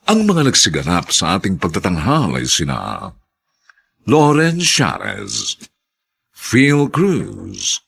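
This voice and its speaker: A Filipino man announcing a radio drama from a script in a husky, gravelly voice, deliberate and theatrical. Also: low voice, huge pitch swings, fairly bright tone.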